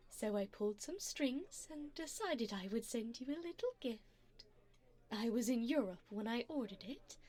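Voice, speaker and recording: A woman playing a wealthy, seductive vampire in an ASMR roleplay, doting yet predatory, with a poised, formal, teasing and breathy delivery. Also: fairly high pitch, darkish tone. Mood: gracious, composed. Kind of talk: ASMR roleplay, addressing the listener.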